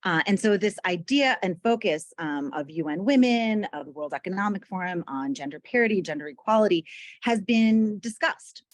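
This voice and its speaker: A woman's voice: medium pitch, lively intonation, moderate pace, no echo. A woman co-hosting a podcast interview, building her questions conversationally with "right?" checks. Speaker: podcast co-host.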